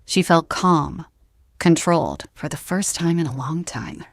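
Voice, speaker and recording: A woman narrator delivers a dramatic scripted audio series. Her voice sits fairly low, and the recording is close and dry, with no room sound. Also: unhurried, no echo.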